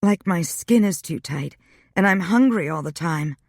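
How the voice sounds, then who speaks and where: Woman reading a young wife, halting and guarded, lively pitch, dry sound. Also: gravelly, no room sound, full character voice. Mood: uneasy. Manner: hesitant, halting sentences. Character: young bride, guarded and uneasy.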